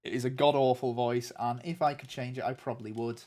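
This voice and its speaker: A man's voice: mid-range voice, fairly even intonation, brisk, mellow. A young man with a nasal voice, chatting casually with viewers on a game stream in a rambling way. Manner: rambling and chatty, many ums and restarts. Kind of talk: casual livestream chat answering viewers.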